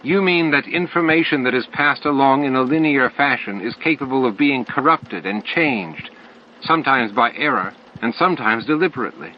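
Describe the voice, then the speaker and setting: A man voicing a troubled, stubbornly disbelieving male alien in an audiobook, halting and emphatic. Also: mid-range voice, animated, dull audio, a little hiss or hum, voice pushed up, natural voice. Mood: realizing, uneasy. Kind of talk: audiobook character dialogue.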